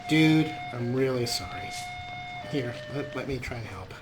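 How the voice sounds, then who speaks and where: A man hosts a gameplay video with casual, wry commentary. His pitch sits in an ordinary middle range, and clear game music plays behind him as he talks through what he is doing. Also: animated, a little slow, rough recording. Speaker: let's play host. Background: game music and character voices.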